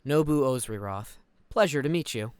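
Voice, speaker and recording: An actor playing a calm, courteous, measured male mentor in a fantasy audio drama. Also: medium pitch, clean tone, no echo, lightly acted. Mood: polite. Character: Nobu, calm, polite, insightful male mentor.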